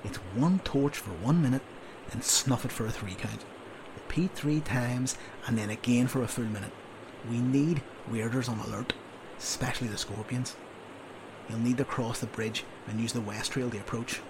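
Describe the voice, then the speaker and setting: A man voicing a blustering, sarcastic army sergeant who lectures a young soldier in loud, drawn-out scolds. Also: medium pitch, noisy background, lightly acted. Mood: gruff orders. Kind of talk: audiobook character voice.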